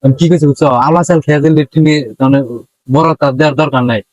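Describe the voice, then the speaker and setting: Fast-talking man with a slightly high voice. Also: steady tone, a little mellow, no echo, low-quality recording. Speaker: prankster relaying and prompting during a phone prank. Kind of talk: casual comic back-and-forth.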